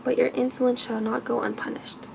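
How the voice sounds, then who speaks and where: A woman voicing an angry, commanding male beast in a bedtime storybook, with stern, formal speech, reading softly for ASMR. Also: on the high side, flat delivery, a little fast, creaky, background noise.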